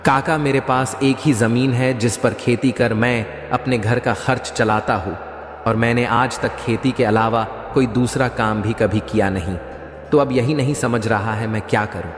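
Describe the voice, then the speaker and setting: A man playing an earnest, worried farmer in a cartoon moral story, speaking in a deliberate, explanatory way. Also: mid-range voice, steady tone, close to an everyday voice. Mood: troubled. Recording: echoey, long reverb.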